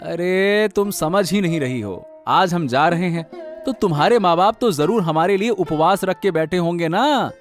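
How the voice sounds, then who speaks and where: A man acts out a nagging young village husband for a cartoon. His voice is high and very animated, with faint music underneath. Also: moderate pace. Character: impatient, nagging young husband and son-in-law. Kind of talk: Hindi animated moral story acting.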